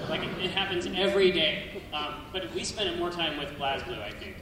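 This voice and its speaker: A man's voice: fairly high pitch, expressive, moderate pace, background noise. A man on a convention panel answering fans' questions with wry jokes, often restarting his sentences. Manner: joking, self-deprecating, stumbles over words. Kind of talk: casual panel Q&A. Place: large convention hall. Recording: distant echoey hall with crowd.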